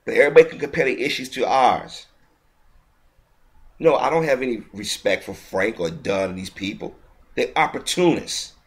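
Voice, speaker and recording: Heated man, indignant ranting commentary, restarts mid-sentence, mid-pitched voice. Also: normal speed. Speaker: video commentator.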